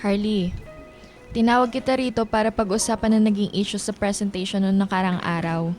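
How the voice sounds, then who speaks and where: A woman playing a stern, formal office manager in a radio drama, speaking in a firm, measured manner. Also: mid-range voice, steady tone, darkish tone, close to an everyday voice. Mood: serious. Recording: music behind the voice.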